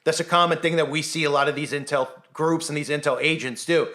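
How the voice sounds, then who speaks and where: A man with a slightly high voice talks as an online commentator in a loose, informal monologue. He is insistent and rhetorical, checking in with the listener as he goes. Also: fairly even intonation, fast talker, sharp tone. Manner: insistent, rhetorical, often tags statements with "right?".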